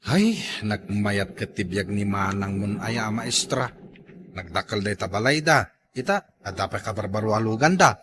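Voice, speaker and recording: A man's voice: on the low side, normal speed, noisy background, lightly acted. A man with an Ilocano accent playing a boastful, fussy car owner in a village comedy drama. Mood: envious.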